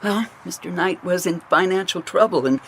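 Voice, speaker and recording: An actress playing a practical, plain-spoken assistant to a detective in a radio mystery, full of questions. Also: female voice, on the low side, normal speed, natural voice. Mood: curious. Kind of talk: radio mystery drama acting. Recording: noisy radio drama recording.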